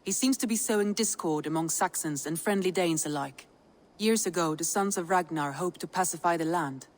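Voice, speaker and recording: An actress playing a composed Viking clan advisor, measured and explanatory, in a video game scene. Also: female voice, lowish voice, lightly acted. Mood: grave, advising. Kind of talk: dramatic video game acting.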